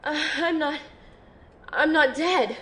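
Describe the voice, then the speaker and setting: Woman acting wounded young woman, high-pitched, hesitant, vulnerable, weary, audio drama, slight background noise. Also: a bit bright. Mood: dazed, weak. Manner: halting, hesitant delivery. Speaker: voice actor playing narrator and lead character.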